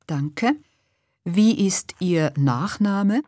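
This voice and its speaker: A woman asking clear, patient questions in a slow scripted language-course dialogue. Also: lowish voice, lively intonation, dark tone, dry recording, studio quality.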